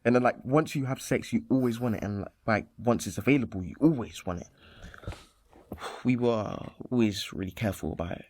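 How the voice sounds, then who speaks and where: A man's voice: mid-range voice, fairly quick, a little mellow, lightly acted. An actor playing a cautious teenage boy in a naturalistic radio play, talking in a hesitant, rambling, colloquial way. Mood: frank, matter-of-fact.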